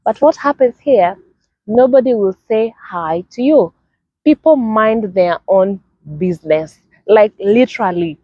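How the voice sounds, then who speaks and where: Kenyan woman vlogging, chatty and animated, dry slightly dull sound. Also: mid-range voice, measured pace, no room sound. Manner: animated, acts out greetings in a lively sing-song way. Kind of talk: casual personal storytelling to camera.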